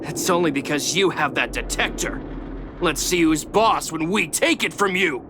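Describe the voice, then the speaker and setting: An actor playing a resentful, bullying miner who leads a gang of thugs in a video game, sneering and taunting. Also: male voice, on the high side, normal speed, background noise, natural voice. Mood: mocking. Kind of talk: animated video game voice acting.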